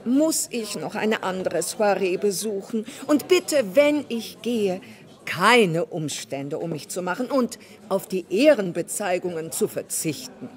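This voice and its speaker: An actress playing a fussy, affected society hostess in a declamatory radio drama style. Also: female voice, medium pitch, lively intonation, a little slow, natural voice. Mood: affected, insistent.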